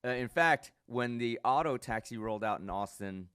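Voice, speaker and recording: A man's voice: mid-range voice, expressive, normal speed, fairly bright tone, smooth, dry recording, studio quality. A man hosting a podcast, speaking in a casual, chummy, conversational way and often interjecting. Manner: interjecting, chummy, informal slang. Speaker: podcast host.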